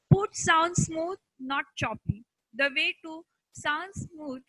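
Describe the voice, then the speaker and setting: Female instructor, Indian accent, slow and deliberate scripted lesson read, close dry sound. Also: high voice, bright, forceful tone, dry recording, rough recording. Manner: deliberate, halting phrasing in short chunks.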